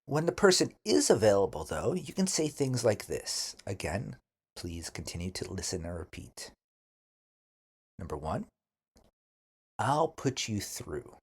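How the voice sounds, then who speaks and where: Husky male English teacher, very varied intonation. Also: on the low side, big pitch swings. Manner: deliberate, careful enunciation for learners. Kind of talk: scripted language lesson with model phrases to repeat.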